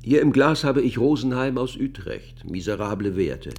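A man's voice: mid-range voice, expressive, moderate pace, a little mellow, faint music behind. A man playing a blustery, wryly grumbling senior sanatorium doctor in a German radio play. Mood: exasperated. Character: blustery, jovially exasperated senior sanatorium chief physician.

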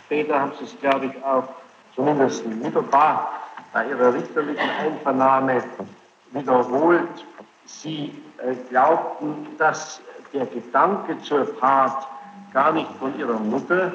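A man formally questioning a witness as a presiding judge, speaking in a halting, deliberate way on an old courtroom tape. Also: mid-range voice, a bit bright. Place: large echoing courtroom. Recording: echoey hall, dull noisy archive tape.

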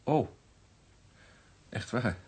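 A man's voice: very slow, a little mellow. A man acting a deferential junior detective in a radio play, speaking politely. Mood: polite, careful. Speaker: actor in a radio drama.

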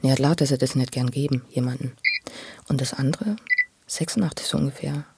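A speaker talks in a casual, halting way, circling back over words. The voice is gravelly and sits at a middling pitch, in a very noisy recording with clicks. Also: fairly even intonation. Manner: halting, repeats words, colloquial. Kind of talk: casual private conversation.

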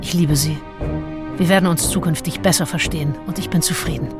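A woman's voice: sits low, fairly even intonation, fairly quick, over music, natural voice. An actress playing a self-assured, seductive nineteenth-century woman diarist, frank and intimate, in a radio play. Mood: satisfied. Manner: frank, matter-of-fact, intimate. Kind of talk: radio play, first-person diary reading.

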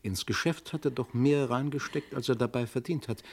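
A man's voice: medium pitch, normal speed, vocal fry, husky. A man acting in an old German radio crime drama as a shrewd police inspector, probing, commanding and emphatic. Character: shrewd, insistent police inspector interrogating a witness.

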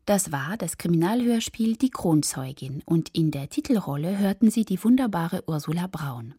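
A woman's voice: fairly low pitch, animated, moderate pace, mellow, breathy edge. A woman radio announcer reading scripted closing credits.

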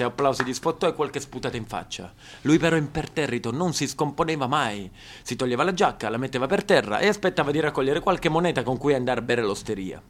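Theatrical man with a lively voice. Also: mid-range voice. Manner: theatrical, swinging between scolding and storytelling. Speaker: stage actor. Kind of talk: theatrical monologue for radio.

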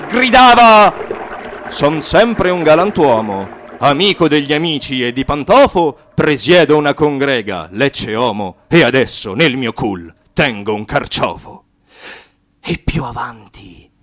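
A man's voice: on the high side, a bit bright. A man reciting satirical verse in a declamatory, mocking, theatrical way. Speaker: actor and narrator.

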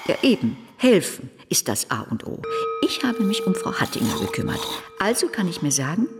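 A woman's voice: on the low side, sing-song, normal speed. An actress playing a caring, earnest woman in a hospice radio drama, consoling and insistent. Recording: long reverberant hall.

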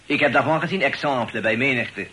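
An actor playing a courteous foreign landlord in a radio play, using French loanwords and a formal, inverted word order. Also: male voice, mid-range voice, brisk, sharp tone, natural voice. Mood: calm, mediating. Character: courteous foreign landlord speaking affected, French-laced Dutch. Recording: noisy old radio drama recording.

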